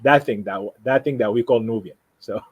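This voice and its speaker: A man from Sudan, a native Arabic speaker with a Sudanese Arabic accent, talking casually and haltingly as he explains himself, often repeating and rephrasing. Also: medium pitch, husky, highs cut off. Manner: halting, repeats and rephrases, frequent "you know". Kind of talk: casual conversation, explaining personal plans.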